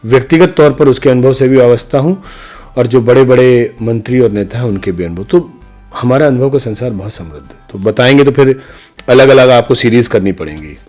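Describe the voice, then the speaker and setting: A middle-aged man with a medium-pitched voice talks as an interview guest. He is reflective and opinionated, building his points with emphatic, rhetorical repetition. Faint music plays behind him. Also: fairly quick, a little mellow. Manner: emphatic, rhetorical, speaks in repeated parallel phrases. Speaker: interview guest, senior news journalist.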